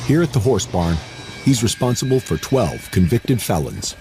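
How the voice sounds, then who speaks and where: A man's voice: lowish voice, sing-song, moderate pace, noisy background. A man delivering scripted narration for a documentary.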